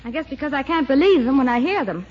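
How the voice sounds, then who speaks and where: Young niece, high lively voice, kinda bossy, muffled old radio show. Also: female voice, quick pace. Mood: confiding. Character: young niece, practical, gently bossy and caring. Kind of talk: 1940s radio comedy acting. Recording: muffled, hissy 1940s radio.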